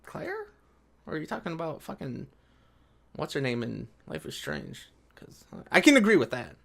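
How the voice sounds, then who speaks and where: Man, slightly high voice, casual reaction commentary, sarcastic, exasperated, sweary. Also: wildly swooping pitch, normal speed, gravelly, fairly bright tone. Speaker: video creator reacting to an article. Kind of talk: casual commentary alternating with reading an article aloud.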